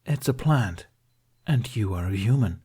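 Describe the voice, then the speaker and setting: A man's voice: fairly low pitch, animated, measured pace, dark tone, breathy edge, exaggerated voice. A man voicing a young male apprentice in an audiobook, polite and earnest, with a hesitant, apologetic way of talking. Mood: skeptical. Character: young apprentice monk, polite, earnest, anxious for his master. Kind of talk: audiobook character dialogue.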